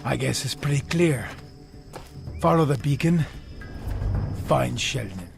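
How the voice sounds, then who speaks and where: Tough dry secret agent, gravelly, music behind him. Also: male voice, medium pitch, animated, takes it slowly, full character voice. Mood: terse, dry. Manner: gruff, dry. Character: tough, terse male secret agent action hero.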